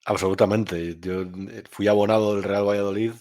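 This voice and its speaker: A man from Spain, answering interview questions in a frank, opinionated way. His voice sits on the low side, and he often pauses and starts his sentences over as he thinks. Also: fairly even intonation, darkish tone. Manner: frank and opinionated, with frequent hesitations and restarts. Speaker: journalist and novelist guest.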